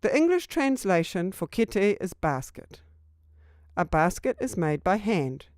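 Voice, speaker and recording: A woman's voice: medium pitch, very animated, unhurried, darkish tone, no echo, studio quality. A woman reading a scripted instructional narration for a training video.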